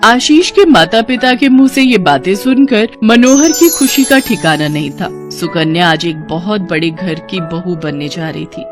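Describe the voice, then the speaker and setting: A woman narrating a cartoon moral story in a plain, steady scripted delivery. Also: lowish voice, unhurried, hardly any pauses. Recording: music playing behind.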